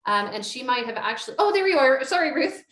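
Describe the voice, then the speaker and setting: A woman's voice: high voice, expressive, quick pace, fairly bright tone. A Canadian woman hosting a virtual networking event, chatty, upbeat and encouraging. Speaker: event host. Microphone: video call. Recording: echoey room on a video call.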